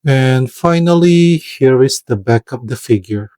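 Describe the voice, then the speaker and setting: A man from the Philippines, giving a product review voice-over in a careful, hesitant way, often pausing to search for words. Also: medium pitch, animated, warm tone, dry recording, clean studio sound. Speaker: toy reviewer.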